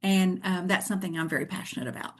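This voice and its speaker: A woman's voice: lowish voice, fast talker. A middle-aged woman from Tennessee with a Southern American accent, telling her story in an earnest, reflective way as a guest author in a casual interview.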